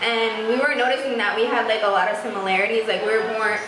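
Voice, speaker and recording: Woman, born in Puerto Rico, animated storyteller, makeup chat, echoey room, background noise. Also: fairly high pitch, fast. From Puerto Rico, raised in Massachusetts and Florida. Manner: animated storyteller, laughing along. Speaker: guest beauty vlogger. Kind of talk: casual chat while doing makeup.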